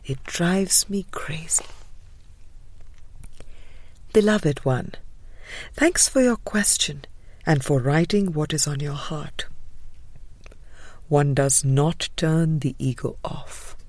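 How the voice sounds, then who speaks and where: A woman reading a spiritual audiobook in a breathy voice that trails into whispery phrase endings, with a measured, gentle, meditative delivery. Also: low voice, no echo. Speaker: audiobook reader. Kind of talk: scripted spiritual audiobook narration.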